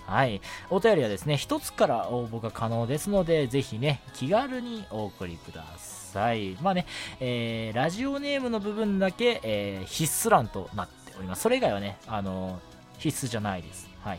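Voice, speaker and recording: A young man hosting a casual solo radio show, talking politely and chattily with frequent short affirmations. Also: medium pitch. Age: young adult. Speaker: radio show host, amateur voice actor. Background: background music.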